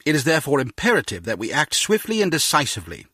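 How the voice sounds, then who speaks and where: A husky, authoritative intelligence chief from a spy game. He is grave and measured, with expressive swings of pitch and a dry, close sound. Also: no room sound, heavily acted. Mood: grave resolve. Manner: measured, formal, resolute. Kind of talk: scripted spy video game dialogue.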